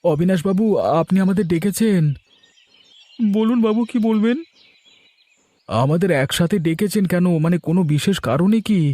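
A man's voice: sits high, moderate pace, long pauses, mellow, no room sound, lightly acted. A man voicing an earnest, respectful middle-aged villager in a cartoon. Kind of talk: Bengali cartoon voice acting.

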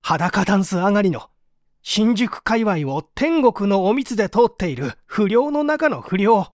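A man's voice: high voice, very animated, measured pace, no echo, studio quality. A man playing an evasive, subdued painter who is under interrogation, hesitant and confessional, in a dramatised mystery reading. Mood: bitter, scornful.

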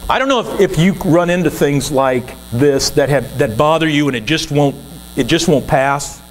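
A man's voice: on the high side, moderate pace, slight background noise, rough recording. A man in his sixties, a guest minister, preaching an earnest, conversational sermon from the pulpit of a church.

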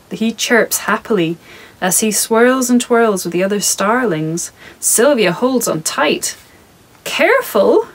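A woman in her thirties reading her picture book aloud to children in a soft, calm, measured way, recorded in her home studio. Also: on the high side, slightly rough.

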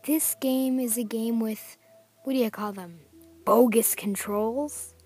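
Slow-talking, slightly hoarse child gaming host. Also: medium pitch, a little mellow, faint music behind. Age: child, preteen. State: getting over a sickness. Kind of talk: scripted-sounding countdown narration and casual vlog intro.

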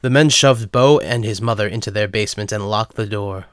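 A man's voice: lowish voice, fairly quick, smooth, no room sound. Dry-sounding male story narrator.

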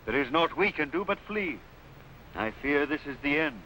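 A solemn, bright-voiced Saxon king. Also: a little high, animated, normal speed, slight background noise, rough recording. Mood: grave. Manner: solemn, archaic theatrical phrasing. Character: weary, grave Saxon king in hiding. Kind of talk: 1950s television costume drama acting.

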